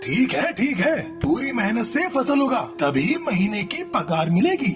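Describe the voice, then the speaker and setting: A man's voice: very high-pitched, very animated, a little slow, music in the background, full character voice. A man voicing a harsh, greedy landlord bird in a children's cartoon, bossy and suspicious, with a menacing manner. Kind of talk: Hindi children's cartoon villain acting.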